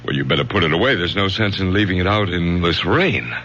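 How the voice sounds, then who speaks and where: A man's voice: sits low, lively intonation, a little fast, fairly bright tone. A man playing a tired, testy, controlling middle-aged father in a radio drama. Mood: concerned. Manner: stammering, then clipped and commanding. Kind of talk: 1970s radio drama acting. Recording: dull, slightly noisy old radio recording.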